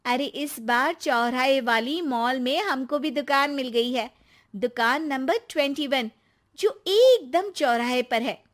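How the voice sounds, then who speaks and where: A chatty young wife from a cartoon bedtime tale, played by a woman. Her voice is high and animated, and she comes across as excitable. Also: slow pace. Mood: happy. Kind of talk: cartoon story dialogue.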